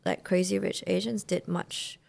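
A young woman from Singapore talks in a relaxed, chatty way. Her voice sits low and has a gravelly edge, and she fills her sentences with "like". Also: normal speed. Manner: chatty, fills speech with "like", quotes people animatedly. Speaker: guest. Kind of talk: casual chat.

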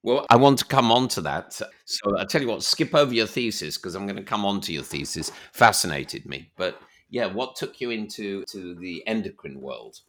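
A middle-aged man hosting a conversational interview podcast, engaged and expressive in how he puts his questions. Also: fairly low pitch, moderate pace, hardly any pauses.

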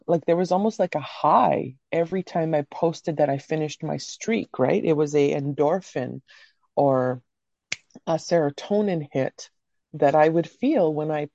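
Low, dark-voiced candid woman. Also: no echo, lo-fi sound. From Canada. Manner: candid, rambling storyteller with casual swearing. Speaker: podcast guest. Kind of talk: casual interview chat.

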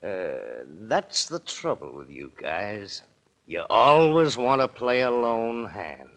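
An actor playing a menacing street crook in a 1940s radio crime drama, talking in slangy, drawn-out tough-guy phrases. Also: on the low side, sing-song, a bit bright, heavily acted. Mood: menacing, sneering. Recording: vintage 1940s radio recording.

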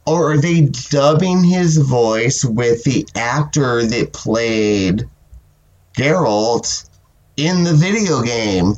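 A man hosting a podcast and chatting casually in a sassy, animated way, swearing often and sounding stuffy from a cold. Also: mid-range voice, slow pace, lo-fi sound. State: stuffy with a cold, sore throat. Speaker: podcast host. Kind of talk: casual chat.

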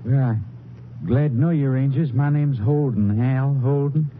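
A man's voice: medium pitch, unhurried, natural voice. Man playing a folksy, evasive small-town storekeeper, tinny radio. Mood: friendly. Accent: American. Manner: folksy, hesitant, over-agreeable. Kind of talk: 1950s western radio drama acting. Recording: tinny, hissy 1950s radio recording.

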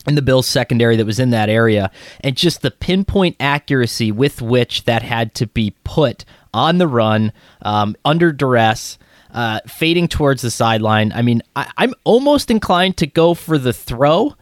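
A man's voice: medium pitch, lively intonation, moderate pace, dry recording, studio quality. A man co-hosting a sports podcast, talking loosely and rambling through his thoughts. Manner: rambling, many fillers and restarts. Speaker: podcast co-host. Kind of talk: casual sports podcast chat.

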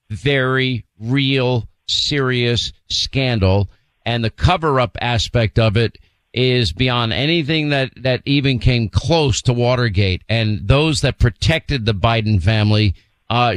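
Emphatic talk radio host, dry studio sound. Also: male voice, medium pitch, clean tone, no echo. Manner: emphatic, slows down to stress points. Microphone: studio microphone.

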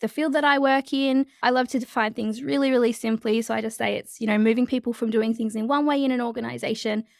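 A woman with an Australian accent, an energetic and bubbly podcast guest who often laughs while chatting casually about her work and life. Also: a little high, fast, creaky, hardly any pauses, dry recording, studio quality. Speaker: guest, change leadership expert. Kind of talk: casual interview chat.

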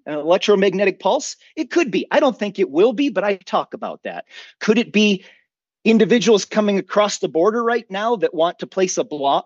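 A man with a fairly high voice talks as a podcast guest on emergency preparedness. He is earnest and opinionated, explaining at length in a conversational way. The sound is dry and somewhat muffled. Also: no room sound. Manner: earnest, opinionated, rhetorical questions to himself. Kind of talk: conversational interview, long personal explanations.

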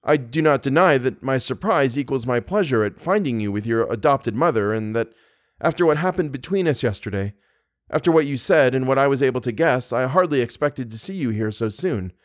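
A man's voice: medium pitch, lively intonation, a little fast, dry recording, clean studio sound, natural voice. A man voicing an anxious, courteous young nobleman in love, formal and impassioned. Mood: earnest, courteous.

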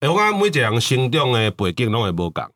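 A man with a Taiwanese accent talks at a quick clip in a casual podcast conversation. His mid-pitched voice moves around in lively swings as he tells personal anecdotes. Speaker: podcast co-host.

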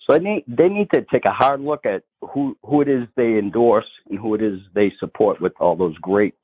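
A man's voice: lowish voice, animated, normal speed, slightly rough. A middle-aged man with a Boston accent, a state politician calling in to a radio interview, blunt and emphatic, often restarting his sentences. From Massachusetts, north of Boston. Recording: tinny phone line.

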